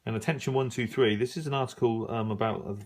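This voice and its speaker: A middle-aged man giving a casual, unscripted review, musing and halting as he thinks aloud while browsing. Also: mid-range voice, fairly even intonation, a little mellow. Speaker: radio hobbyist reviewing an old magazine.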